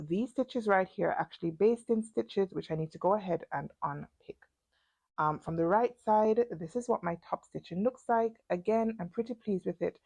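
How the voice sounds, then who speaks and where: A woman's voice: lowish voice, gravelly, a little mellow. A woman talking casually through her sewing project in a chatty, self-deprecating vlog. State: stuffy and congested. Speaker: sewing vlogger.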